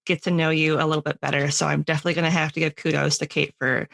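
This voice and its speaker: A woman's voice: low-pitched, flat delivery, very fast, vocal fry, fairly bright tone, no echo, studio quality. A woman hosting a podcast in a casual, supportive, affirming way with a guest. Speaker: podcast host. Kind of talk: casual chat.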